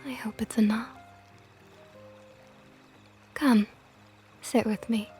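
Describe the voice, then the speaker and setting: A woman voicing a possessive, flirtatious female vampire knight in an ASMR roleplay, talking softly and often in a whisper with a teasing tone. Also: on the high side, steady tone, takes it slowly, faint music behind. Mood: tender teasing.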